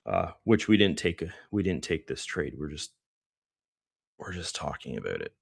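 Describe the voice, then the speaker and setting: A middle-aged man hosting a live trading stream, hoarse and losing his voice, who rambles casually through market commentary with many fillers. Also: extremely low pitch, very animated, normal speed, no room sound, studio quality. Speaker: trading livestream host.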